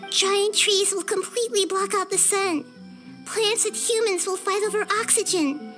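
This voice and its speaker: A very high, childlike girl deity from a video game. She sounds wise and caring, speaking in thoughtful, articulate sentences, with faint music underneath. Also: a bit bright. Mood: alarmed.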